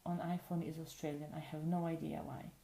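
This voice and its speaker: A woman whose first language is Polish, calmly explaining phone functions step by step in a patient way. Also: low voice, brisk, gravelly, reverberant, a little hiss or hum. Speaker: tutorial presenter. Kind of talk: casual spoken tutorial. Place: indoors at a table.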